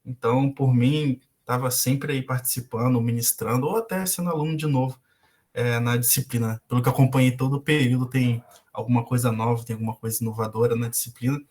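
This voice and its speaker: Brief: young adult Brazilian man, guest lecturer on a video call, medium pitch, hesitant and full of fillers. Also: steady tone, quick pace, a little mellow. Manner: hesitant, frequent filler "é" and restarts. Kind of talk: casual online lecture.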